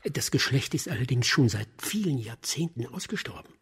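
An actor playing a deferential, knowledgeable aide to a judge in a German radio drama, with a rough, husky voice. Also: male voice, medium pitch, lively intonation, moderate pace. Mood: informative. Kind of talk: German radio play acting.

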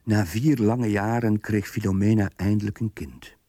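A man narrating a radio drama as a solemn, measured storyteller reading from a diary. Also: fairly low pitch, steady tone, warm tone, slight background noise, close to an everyday voice.

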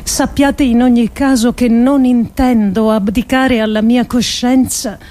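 An actress playing an earnest, resolute woman politician who delivers a solemn theatrical speech with measured, emphatic phrasing. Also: a little high, fairly even intonation, dark tone, natural voice. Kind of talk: theatrical monologue, solemn oratory.

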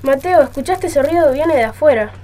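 A woman's voice: high voice, expressive, brisk, warm tone, a little hiss or hum. A woman with an Argentine accent playing a romantic, fearful young woman in an amateur radio drama. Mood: terrified.

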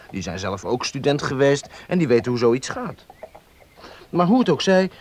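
An actor playing a charming, spoiled young student, smooth-talking and teasing in a radio drama. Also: male voice, mid-range voice, slight background noise. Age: young adult.

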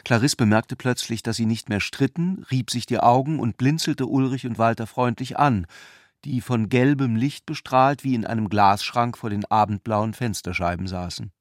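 A man's voice: mid-range voice, moderate pace, no room sound, clean studio sound. A man narrating a literary radio drama from a script.

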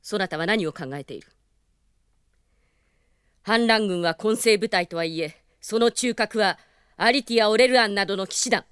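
An actress playing a proud, honorable young princess commander in a radio drama, with stern, formal, knightly speech. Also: female voice, mid-range voice, moderate pace, pauses often, a bit bright, clear, resonant tone, dry recording, clean studio sound, close to an everyday voice. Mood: stern, indignant.

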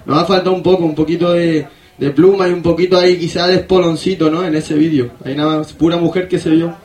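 A man hosting a radio show in casual talk, a bit halting as he searches for words. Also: a little high, level tone, darkish tone. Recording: roomy, echoey sound.

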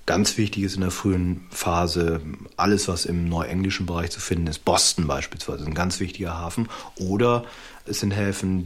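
A man explaining history in a documentary interview, measured and explanatory, with a husky voice. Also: low-pitched. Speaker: history expert.

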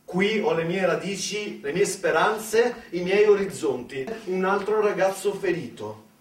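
A man performing a dramatic theatrical storytelling monologue, emphatic, with deliberate pauses and repetitions. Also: high-pitched. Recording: roomy, distant mic, faint background noise.

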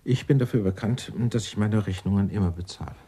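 A man's voice: on the low side, a little fast, mellow, husky. An actor playing an eccentric, indignant, self-righteous clerk in his sixties in a radio drama, speaking in a florid, pompous, theatrical way. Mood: pompous, defensive. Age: 60s. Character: eccentric, indignant 64-year-old Dutch tax-law clerk, self-righteous. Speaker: murder suspect being questioned.

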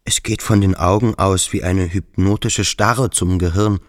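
A man's voice: deep voice, steady tone, measured pace. A man playing a sensitive, brooding cadet in a radio drama, earnest and intense in his inner monologue. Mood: tense observation.

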